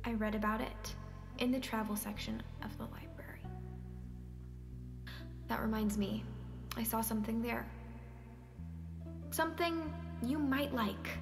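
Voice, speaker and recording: A woman's voice: on the high side, steady tone, measured pace, creaky, long pauses. A woman acting a caring, persistent character who coaxes and probes in naturalistic stage-play dialogue, sometimes hesitantly. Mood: coaxing, probing. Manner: coaxing, probing, hesitant at times. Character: Sonia, caring, persistent woman nudging a withdrawn man. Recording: roomy sound with music behind.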